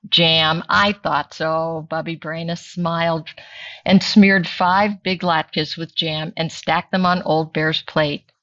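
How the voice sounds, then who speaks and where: A woman reading a children's story aloud as storytime host, deliberate and expressive. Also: lowish voice, no echo, highs cut off.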